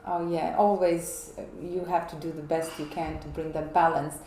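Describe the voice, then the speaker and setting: A woman hosting an interview in a guest's flat, chatty and asking long, rambling questions. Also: sits low, normal speed. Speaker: interview host. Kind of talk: casual interview conversation. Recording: echoey room with faint noise.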